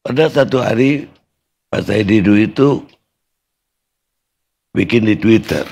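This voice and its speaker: A male host steering a heated debate with firm, probing questions. He talks slowly in a gravelly, husky voice. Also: fairly low pitch, a little mellow, dull audio. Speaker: talk show host. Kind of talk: moderating a debate.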